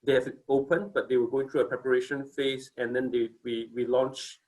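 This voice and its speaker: A man's voice: medium pitch, level tone, a little fast, darkish tone, poor audio. A man in his forties from Singapore, giving a casual company presentation on a video call, hesitant with many fillers and restarts.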